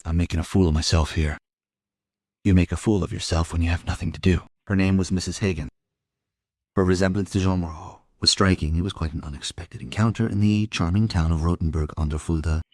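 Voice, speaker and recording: A man's voice: sits low, steady tone, fairly quick, a little mellow, no echo, studio quality. A man playing a middle-aged homicide inspector who narrates a crime audio drama in a wry, musing, literary manner. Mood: wry, reflective.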